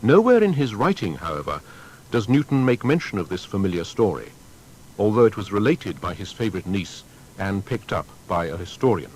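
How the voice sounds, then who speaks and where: A male narrator delivers scripted biography with articulate, emphatic phrasing. His voice is fairly low with a lively, wide-ranging melody, recorded with faint noise and rumble. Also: normal speed, poor audio.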